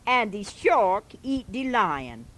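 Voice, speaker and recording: A woman's voice: mid-range voice, sing-song, slow pace, a bit bright. A fervent old-time male preacher drawls through a parable in heavy dialect, as a woman puts him on. He exhorts his flock and keeps circling back with repetitive asides, in the manner of a comic sermon recitation. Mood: preaching.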